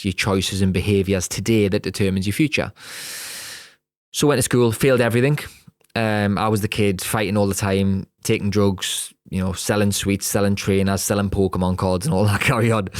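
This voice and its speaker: A man's voice: on the low side, dry recording, clean studio sound. A man in his thirties with a Geordie accent, hosting a podcast and telling his own story bluntly, with a streetwise tone and the odd laugh mid-sentence. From Newcastle upon Tyne, England. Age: 30s. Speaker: podcast host. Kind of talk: candid personal storytelling.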